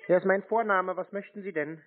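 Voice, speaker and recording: A man's voice: sits high, fairly even intonation, fairly quick. Curt man on a tinny phone line. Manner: curt, stonewalling, contrary. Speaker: scam-baiter taking phone calls. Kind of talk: phone conversation. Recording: tinny phone-quality audio.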